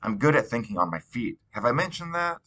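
A man reading a novel aloud in an expressive storytelling style. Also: mid-range voice, fairly quick. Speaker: audiobook reader.

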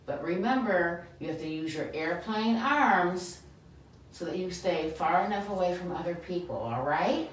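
A middle-aged woman speaks as an encouraging preschool teacher in a slightly low voice. She gives gentle instructions through a mask. The room is very echoey, with faint noise and a distant mic. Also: expressive, a little slow. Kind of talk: gentle instructional role-play with a puppet child. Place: small school entry room, indoors. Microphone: distant camera microphone.

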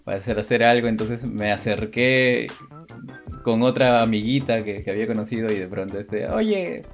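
A man's voice: lowish voice, normal speed, faint music behind. A young adult man co-hosting a casual chat for viewers, hesitant and often repeating his phrases. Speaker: co-host of an online chat show.

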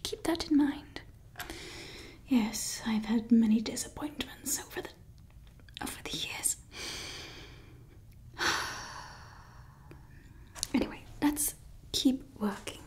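A young woman in an ASMR roleplay voicing a doting yet menacing evil scientist, speaking in soft, close, sweetly possessive whispers into a close mic. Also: fairly high pitch, slow, long pauses. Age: young adult. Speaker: ASMR creator. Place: indoors. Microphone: close studio mic.

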